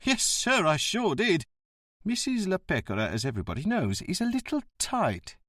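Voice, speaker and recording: A man puts on a surly, reed-thin bus driver of about fifty, pitched well above his own reading voice. He speaks bluntly and with a stickler's grievance, in a storybook read close and dry. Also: wildly swooping pitch, normal speed, fairly bright tone, dry recording, heavily acted. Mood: aggrieved. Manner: blunt, aggrieved, sticks to the rules.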